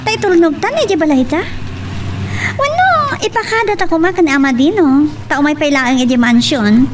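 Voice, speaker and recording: High, animated lovestruck rich daughter. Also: female voice, normal speed, distant mic, background noise. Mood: lively, coaxing. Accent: Ilocano. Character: spirited young woman, lovestruck daughter of a wealthy father. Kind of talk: Ilocano radio drama acting.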